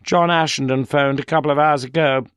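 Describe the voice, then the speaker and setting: Man playing a reserved flat-voiced scholar, raised pitch, dry close recording. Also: a little fast, smooth, no room sound, close to an everyday voice. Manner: flat, unemotional. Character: flat, reserved middle-aged male scholar.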